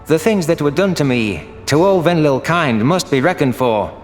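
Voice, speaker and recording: A man's voice: fairly high pitch, expressive, normal speed. Male narrator with music underneath. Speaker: audiobook narrator. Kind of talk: first-person dramatic scripted narration. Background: background music.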